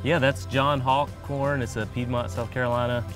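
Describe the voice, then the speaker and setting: Soft-spoken young gardener, plain answers. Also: male voice, medium pitch, little pitch movement, moderate pace. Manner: soft-spoken, brief, matter-of-fact. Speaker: garden and seed program guide. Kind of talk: casual interview answers. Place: outdoors in a garden. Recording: music bed underneath.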